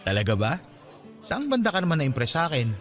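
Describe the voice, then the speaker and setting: An actor playing an earnest, eager suitor on a date in a radio drama, speaking conversationally with a Filipino accent. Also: male voice, a little high, wildly swooping pitch, fast talker, soft background music. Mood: flattered, playful. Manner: courting, conversational.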